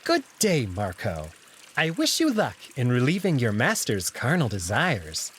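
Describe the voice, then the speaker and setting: A man's voice: medium pitch, huge pitch swings, measured pace, a bit bright, a little hiss or hum. A man voicing a smug, flirtatious male jackal in a visual novel, speaking with arch, mockingly polite theatricality. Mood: smug, mock polite.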